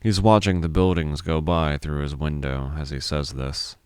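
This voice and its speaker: A man's voice: very deep voice, fairly even intonation, moderate pace, clear, resonant tone, dry recording, clean studio sound. A man reading scripted fiction narration as a storyteller.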